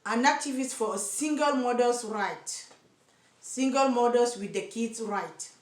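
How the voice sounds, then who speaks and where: Cameroonian lady vlogging, kinda high voice, fired up, repeats herself hunting for english words, roomy echo. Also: a little slow. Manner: impassioned, repeats words while searching for English. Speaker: activist for single mothers. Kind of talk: direct-to-camera vlog. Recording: echoey room.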